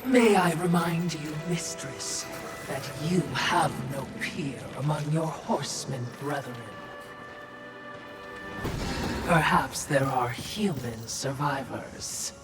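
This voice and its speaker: A woman's voice: deep voice, slow. An actress playing a sly, servile female spirit attendant in a video game, formal and insinuating in manner. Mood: deferential. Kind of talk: video game cutscene acting. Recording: echoing, distant-sounding voice over music.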